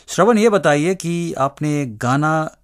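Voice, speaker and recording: A man's voice: a little high, animated, takes it slowly. A man putting questions to a guest in a radio interview.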